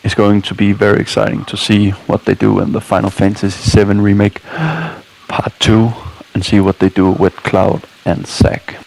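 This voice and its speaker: A man's voice: lowish voice, normal speed, creaky, hardly any pauses, a little mellow, low-quality recording. A man narrating a casual video game walkthrough as a scripted voice-over, with dry, weary asides. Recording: music behind the voice. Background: game music.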